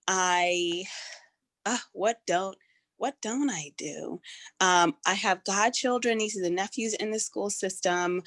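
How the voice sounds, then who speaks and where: A woman's voice: lowish voice, fairly bright tone, dry recording. A woman from Rhode Island giving an upbeat, effusive self-introduction at an online meeting, repeating words for emphasis. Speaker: task force member.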